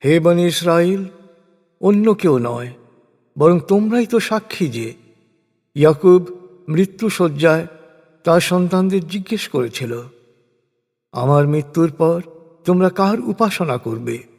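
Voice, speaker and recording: A man with a fairly high voice reads sacred text very slowly and solemnly. Each phrase is deliberate and measured, carried on a long reverb. The delivery is a scripted religious recitation. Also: expressive, darkish tone, soft background music.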